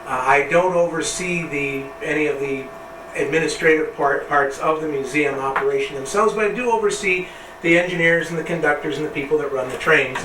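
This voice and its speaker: An American man explaining things conversationally as an interview guest, heard from a distance amid railyard noise. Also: fairly high pitch. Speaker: interview guest, railway operations superintendent. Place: back platform of old passenger railcar. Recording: echoey distant pickup, noisy railyard behind. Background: loud passing train, railyard noise.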